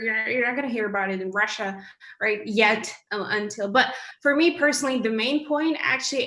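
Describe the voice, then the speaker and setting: A woman co-hosting a casual online panel, chatty and lively, on a video call. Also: on the high side, roomy sound, poor audio. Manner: lively, chatty, quick recaps. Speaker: co-host.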